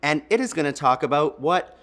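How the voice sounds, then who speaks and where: A man hosting a children's storytime, reading picture books and chatting in a gentle, encouraging way. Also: medium pitch, animated, fairly quick.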